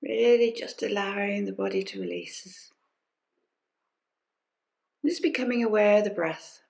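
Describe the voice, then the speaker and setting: A woman guides a body scan meditation at an unhurried, gentle pace. Her medium-pitched voice comes in short phrases with restful pauses, as a teacher softly inviting listeners to follow along. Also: creaky. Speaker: meditation teacher leading a group session. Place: recorded during an online session.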